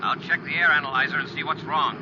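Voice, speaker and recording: Casting: male actor as authoritative space commander, decisive and level-headed, 1950s radio serial style, muffled recording with clear background noise. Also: a little high, steady tone, quick pace, natural voice. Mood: urgent. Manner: authoritative, decisive, crisp orders. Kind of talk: 1950s radio adventure acting.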